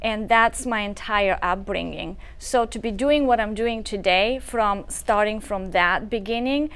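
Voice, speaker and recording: A woman's voice: medium pitch, measured pace, a bit bright, smooth. A woman in her thirties from Bulgaria with a Bulgarian accent, telling her story earnestly as a talk show guest. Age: 30s. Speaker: guest, nonprofit founder.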